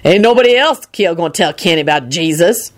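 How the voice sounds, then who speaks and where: A woman's voice: lowish voice, expressive, fairly quick, close to an everyday voice. A woman voicing a scowling, devout servant woman, self-righteous and huffy, with folksy grammar, in an audiobook story.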